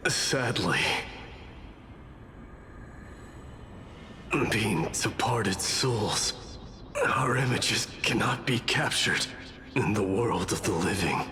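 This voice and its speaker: An actor playing a solemn, weary departed warrior in a video game, grave and formal, with ghostly reverb. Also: male voice, fairly low pitch, lively intonation, slow, bright, forceful tone, exaggerated voice. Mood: regretful. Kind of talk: scripted video game voice acting. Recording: long echoing reverb, music behind.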